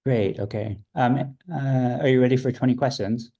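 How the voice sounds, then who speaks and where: Guy hosting a podcast, low monotone warm voice, just reads off questions. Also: vocal fry. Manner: brisk, steady, reads questions from a list. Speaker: podcast host. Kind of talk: interview hosting from a question list.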